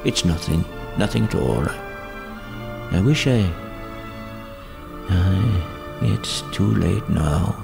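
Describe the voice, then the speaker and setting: Elderly male miser, creaky voice, slow and halting, remorseful, audio drama. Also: deep voice, exaggerated voice. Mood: sorrowful, shaken. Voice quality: gravelly, creaky. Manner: halting, trembling, regretful. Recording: music behind the voice.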